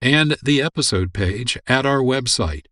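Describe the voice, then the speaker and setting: A man's voice: mid-range voice, animated, unhurried, fairly bright tone, no echo, studio quality. A man hosting a radio program, reading scripted narration and credits. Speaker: producer and host. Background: music.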